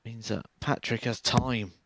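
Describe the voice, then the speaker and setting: A man giving live darts commentary in a measured, explanatory way. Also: medium pitch, fairly even intonation, heavy background noise.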